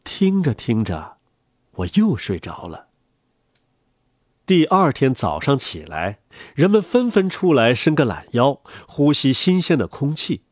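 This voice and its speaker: A man reads a children's story aloud in a gentle, animated way. His voice is husky and its pitch moves a lot. The sound is dry and close, with soft music underneath. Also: unhurried, no echo. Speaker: storyteller reading to children, the father. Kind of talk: expressive children's story reading.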